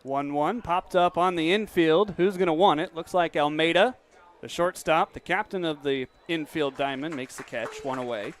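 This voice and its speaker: A young adult man in a stadium broadcast booth, calling baseball play-by-play on radio and asking interview questions between pitches. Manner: brisk game calling between relaxed questions.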